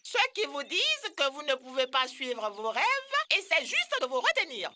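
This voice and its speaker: A woman's voice: high voice, huge pitch swings, moderate pace, crowd noise. A woman voicing a motherly, encouraging hen with an emphatic, rousing manner in a children's cartoon. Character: motherly, encouraging hen, proud of her chicks. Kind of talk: French-language children's cartoon dub.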